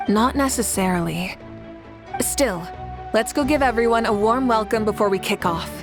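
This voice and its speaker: A woman's voice: mid-range voice, slow, pauses often. A woman voicing a composed, commanding female tournament host in a video game. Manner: composed, rousing. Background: game music.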